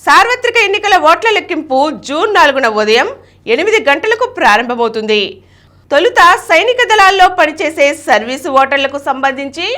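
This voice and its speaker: A woman news reader delivers a scripted bulletin. Her voice sits high in pitch, and her intonation swings widely from phrase to phrase. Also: fairly bright tone.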